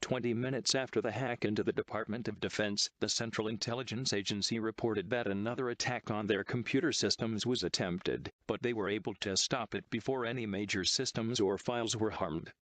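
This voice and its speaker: A synthetic male text-to-speech voice reading a scripted emergency broadcast announcement in a formal, impersonal, measured manner. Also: on the low side, steady tone, a bit bright, no echo.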